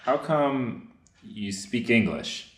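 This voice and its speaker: Man acting a very slow, dazed wounded prisoner. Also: medium pitch, animated, fairly bright tone. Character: weak, dazed young American wounded prisoner. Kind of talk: staged readers' theater acting. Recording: echoey room, off-mic.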